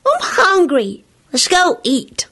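A determined little storybook boy played by a woman, extremely high and bright. He draws his words out very slowly, eager, childlike and insistent. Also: huge pitch swings, heavily acted. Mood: demanding, eager.

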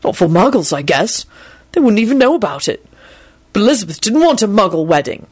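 A woman's voice: medium pitch, big pitch swings, fairly quick, a little mellow, slightly rough. A woman voicing an earnest, easily outraged young man in an audiobook story, talking in a heated, emphatic way. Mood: outraged, defensive.

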